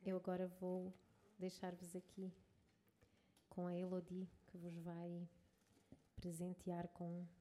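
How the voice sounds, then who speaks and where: A woman introduces the next performer at a concert. She speaks slowly in a low, very flat voice, with faint background noise. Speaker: concert presenter. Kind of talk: stage announcement.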